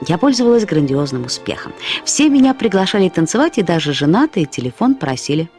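Кокетливая болтушка с прыгающими интонациями в исполнении актрисы. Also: female voice, lowish voice, sing-song, brisk, darkish tone. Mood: boastful. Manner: theatrical, boastful, playfully emphatic. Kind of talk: radio drama character acting. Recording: studio radio play with faint music.

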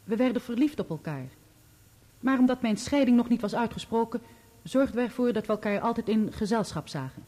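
An actress in a Dutch radio play, playing a loyal, bereaved woman who testifies in measured, articulate, formal sentences. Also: fairly high pitch, expressive, dark tone. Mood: composed, factual.

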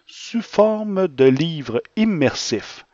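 A male podcast host speaks at a slow pace and a mid pitch. His phrasing is earnest and rather florid, and the recording is very dry and slightly dull. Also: expressive, darkish tone, dry recording. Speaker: podcast segment host and interviewer. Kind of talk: scripted podcast presentation and informal interview.

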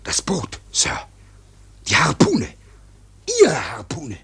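An actor playing a fearful ship's mate in a radio drama, pleading in a breathy half-whisper amid noise. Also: male voice, medium pitch, wildly swooping pitch, takes it slowly, fairly bright tone, full character voice. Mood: alarmed.

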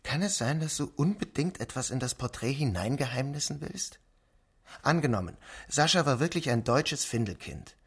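Husky, sceptical questioning man. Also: mid-range voice, expressive, moderate pace, fairly bright tone. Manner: probing, doubtful. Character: sceptical man questioning an art historian's theory. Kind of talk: radio play dialogue.

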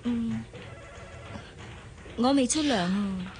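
An actress playing a sincere, polite young private secretary in a radio drama, mild and earnest, with a Hong Kong Cantonese accent. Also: female voice, on the high side, very slow, a little mellow, a little hiss or hum.

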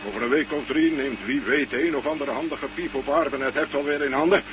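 An actor playing an easygoing, plain-spoken Russian space station commander in a Dutch radio play. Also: male voice, on the high side, brisk, natural voice. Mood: folksy, stubborn. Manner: colloquial, musing, folksy. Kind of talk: Dutch science fiction radio play acting. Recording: noisy old radio recording with hum.

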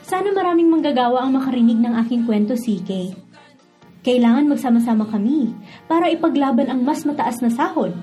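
Woman acting a mellow, hopeful working mother. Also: high voice, animated, brisk, soft background music. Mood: hopeful, earnest. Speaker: radio drama actor. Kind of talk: radio drama letter narration.

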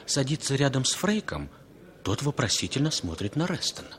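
An actor as the narrator of a spy drama, reading scripted narration in a 1960s radio play. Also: male voice, mid-range voice, big pitch swings, fairly quick, a bit bright. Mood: narrating.

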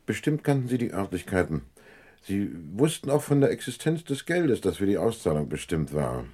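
A police inspector in a vintage radio crime drama. His voice is low, and his delivery is measured and official. He is courteous on the surface, stern and methodical underneath. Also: very animated, mellow, husky. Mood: probing, courteous. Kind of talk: vintage German radio crime play acting.

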